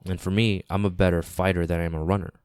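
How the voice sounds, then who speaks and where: A man with a deep, resonant voice speaks earnestly and informally, as a podcast host alone at the microphone. He sounds congested and a little nasal from a lingering cold, and he restates phrases for emphasis. The sound is close and dry. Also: flat delivery, quick pace, a little mellow, dry recording. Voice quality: slightly raspy, nasal. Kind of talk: casual podcast monologue.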